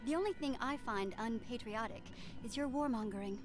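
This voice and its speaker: A woman's voice: a little high, brisk, over music, natural voice. A woman playing a principled young senator in a cartoon, formal and assertive. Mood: firm.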